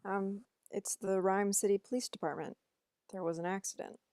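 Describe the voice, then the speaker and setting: A woman's voice: mid-range voice, steady tone, normal speed, a little mellow, no room sound, close to an everyday voice. Casting: woman as awkward, earnest young office guy, everyday delivery, dry close recording. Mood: subdued. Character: earnest young male insurance appraiser, awkward and polite. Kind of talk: amateur table read of a film script.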